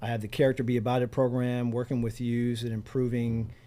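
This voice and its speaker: A man's voice: mid-range voice, level tone, brisk, mellow. A middle-aged or older man from Pennsylvania, speaking conversationally as an interview guest and community organizer in a studio. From Pennsylvania, United States. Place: radio studio.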